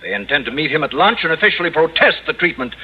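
An authoritative head of a school board, formal and dry. His voice sits a little high and moves expressively through old radio comedy dialogue. The sound is dull and lo-fi. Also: sing-song, quick pace, breathy edge. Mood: stern, grave. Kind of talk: 1950s radio comedy acting. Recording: muffled old radio recording.

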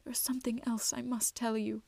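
A woman voicing an anxious, loving wood troll mother in a soft, tremulous, breathy voice for a storybook. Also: sits high, moderate pace, dry recording, voice pushed up. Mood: tearful, confessing.

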